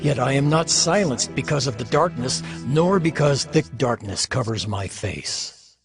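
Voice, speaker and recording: A solemn biblical sufferer, played by a man, laments in a bitter, defiant voice of middling pitch. The delivery is declamatory, in the manner of dramatized scripture, with prominent music underneath. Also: animated, measured pace. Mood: searching, fearful.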